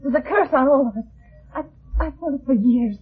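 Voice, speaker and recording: A woman's voice: sits high, a little fast, natural voice. An actress playing a frightened, fragile, haunted young woman in a vintage radio melodrama, speaking hesitantly and fervently with a tremor. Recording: muffled lo-fi old radio recording.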